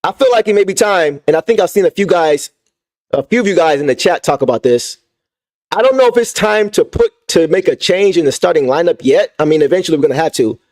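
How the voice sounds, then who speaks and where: A young man with a fairly high voice that has turned hoarse hosts a live sports stream. He vents emphatically, repeats his points for weight, and talks straight to the people in his chat. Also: lively intonation, fast talker, darkish tone. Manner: emphatic, repetitive venting, reads chat. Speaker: sports fan channel host. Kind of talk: livestream sports rant.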